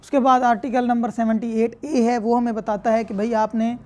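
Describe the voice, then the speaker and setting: A woman with a Pakistani accent and a fairly high voice delivers a law lecture. She explains step by step, reaching for everyday examples and often checking that listeners follow. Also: little pitch movement, moderate pace, darkish tone.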